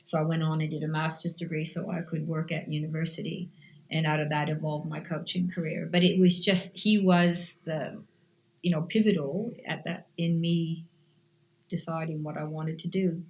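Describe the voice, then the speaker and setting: A woman's voice: deep voice, little pitch movement, normal speed, low-quality recording. A woman in her fifties from Canada, with an accent between Canadian and Australian, a cycling coach answering interview questions earnestly. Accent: mix of Canadian and Australian.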